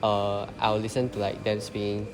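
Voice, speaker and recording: A man's voice: fairly low pitch, little pitch movement, moderate pace, background noise. A young Singaporean man, a design student, answering interview questions casually with lots of fillers. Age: young adult. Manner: rambling, many fillers. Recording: echoey hall with crowd behind.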